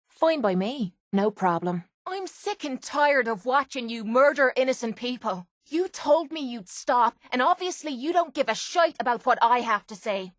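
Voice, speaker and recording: A woman's voice: fairly high pitch, dry recording, clean studio sound, natural voice. A woman playing a brash, foul-mouthed young Irish brawler in a video game, blunt and sardonic but vulnerable underneath, with an Irish accent. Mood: frank, earnest, then angry, hurt. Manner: blunt, gruff, sardonic, informal. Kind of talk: video game companion voice lines.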